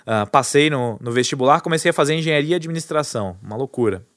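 A man's voice: medium pitch, quick pace, no room sound, clean studio sound. Chatty Brazilian man in his thirties. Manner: relaxed and chatty, with frequent fillers and self-correcting restarts. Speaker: guest, entrepreneur. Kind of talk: casual storytelling interview.

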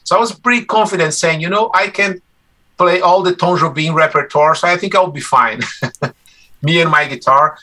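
A man in his forties from Brazil whose first language is Portuguese, talking casually as an interview guest about his life as a musician. He is relaxed and chatty, restarts his sentences often and laughs easily. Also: a little high, moderate pace.

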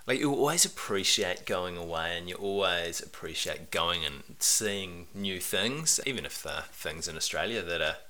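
A man's voice: low-pitched, expressive, moderate pace, few pauses, sharp tone. A man hosting a podcast, asking questions in an easygoing, encouraging way. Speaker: podcast host. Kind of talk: casual interview chat.

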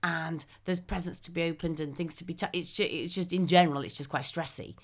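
A woman's voice: lowish voice, fairly even intonation, brisk. A woman co-hosting a podcast, chatting casually and hesitantly, searching for her words. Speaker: podcast co-host. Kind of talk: casual chat.

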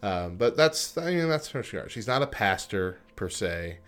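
Chatty radio co-host, lower-pitched man. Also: big pitch swings, normal speed, fairly bright tone. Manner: chatty, frequent fillers and restarts, laughs easily. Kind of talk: casual radio chat and news reading.

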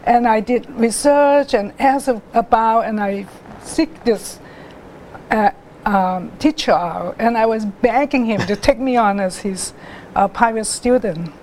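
A middle-aged woman, telling her life story as a guest artist in an interview, haltingly, with many restarts and pauses. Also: a little high, a little mellow, a little hiss or hum, poor audio. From Hong Kong.

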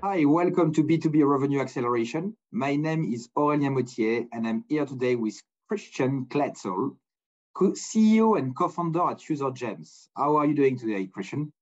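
A man's voice: mid-range voice, animated, moderate pace, darkish tone, lo-fi sound, faint music behind. A man hosting a business podcast interview, talking loosely with many restarts and uneven phrasing. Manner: rambling, many restarts, non-native phrasing. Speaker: podcast host.

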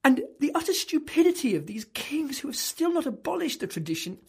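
A woman acting a deluded male clerk who believes he is king, in a dramatic monologue that swings between hushed whispers and loud outbursts. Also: deep voice, expressive, measured pace, breathy edge, heavily acted. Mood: indignant.

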